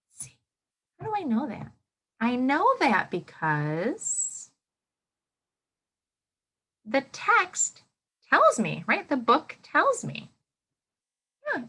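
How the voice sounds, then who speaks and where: A woman with a bright, clear-cut tone speaks as an elementary teacher to young children. Her pitch swings widely and she takes her time, leaving room for answers. She prompts with questions and encourages in an exaggerated, child-friendly way. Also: huge pitch swings, takes it slowly, pauses often. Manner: exaggerated, child-directed expressiveness. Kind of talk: interactive read-aloud lesson for young children.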